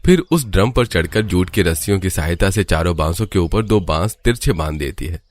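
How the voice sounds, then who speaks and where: Very deep-voiced male story narrator. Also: expressive, brisk, dry recording. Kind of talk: scripted storybook narration for a cartoon.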